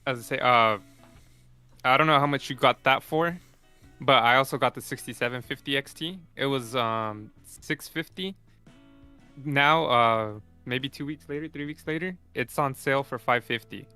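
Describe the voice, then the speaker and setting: A man's voice: medium pitch, normal speed, bright, forceful tone, smooth, soft background music. A young man co-hosting a casual tech podcast, easygoing and explaining things plainly with fillers. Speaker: co-host.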